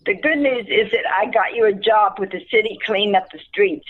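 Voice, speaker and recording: A woman's voice: medium pitch, a little fast, phone-quality sound, lightly acted. A woman playing a tired, impersonal city caseworker in an amateur radio play, brusque and dismissive. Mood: weary, dismissive.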